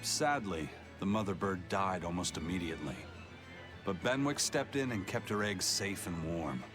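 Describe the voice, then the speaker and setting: A steady, serious pirate with a lowish, gruff voice. He speaks in a measured, proud way, as in anime game dialogue, with music clearly behind. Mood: wry storytelling. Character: stern, steady, proud male pirate first mate. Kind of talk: anime-style video game acting.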